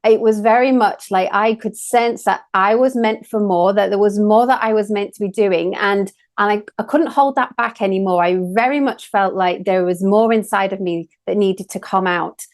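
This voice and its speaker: A woman in her forties speaks with an English accent. Her pitch sits in the middle range. She talks in an earnest, personal, conversational way, as a co-host would. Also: moderate pace, few pauses. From England. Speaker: co-host, energy and sound healer.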